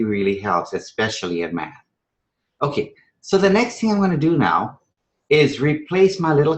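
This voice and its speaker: A man from Trinidad and Venezuela teaching a math strategy session to teachers, conversational and emphatic, with rhetorical questions and frequent check-in tags. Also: medium pitch. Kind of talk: live instructional presentation to teachers. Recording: echoey room sound.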